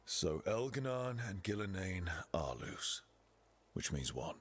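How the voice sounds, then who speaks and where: A man playing a steady, blunt team leader in a fantasy video game, speaking in a dry, direct way. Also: deep voice, slow, full character voice. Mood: firm.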